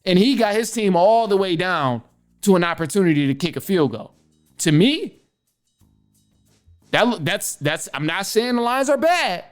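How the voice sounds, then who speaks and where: A man's voice: sits high, sing-song, unhurried, pauses often, soft background music. A man hosting a sports podcast, giving casual, opinionated commentary in slangy, emphatic language with repeated phrases.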